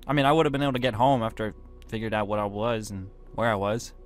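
A man's voice: fairly low pitch, fast talker, faint music behind, close to an everyday voice. A man playing a laid-back but touchy young hellhound student, speaking in a slangy, offhand way in a game roleplay. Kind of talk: casual scripted Minecraft roleplay.

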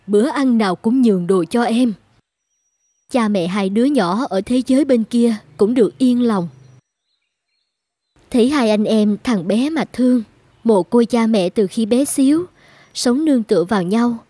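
A woman's voice: fairly high pitch, normal speed, mellow, dry recording, lightly acted. A woman voicing a kindly, compassionate orphanage carer in a cartoon, with a sympathetic, worried manner. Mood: pitying. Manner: sympathetic, caring. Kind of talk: animated children's story dubbing.

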